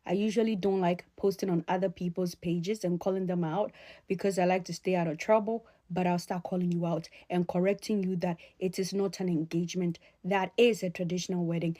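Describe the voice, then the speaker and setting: A woman speaking straight to her audience in an opinion vlog, emphatic and admonishing, repeating key phrases deliberately. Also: lowish voice, fairly even intonation, warm tone. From Ghana. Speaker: sociologist addressing followers.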